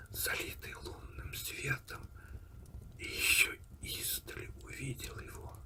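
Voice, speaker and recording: A man narrating a story in a breathy, hushed ASMR whisper, deliberate and unhurried, with pauses between phrases. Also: mid-range voice, low-quality recording. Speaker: audiobook reader and host of an ASMR channel.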